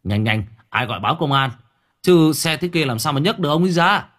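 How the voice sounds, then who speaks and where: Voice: mid-range voice, animated, brisk, fairly bright tone, pitched above the performer's own voice. A man voicing a panicked bystander in a crowd who exclaims frantically and stammers in a told story. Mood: frantic.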